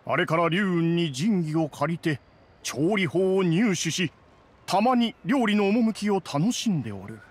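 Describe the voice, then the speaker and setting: A man playing a dignified, grumbling immortal in human guise in an anime game, with formal, archaic and measured speech. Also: high voice, lively intonation, slightly rough, exaggerated voice. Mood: recounting.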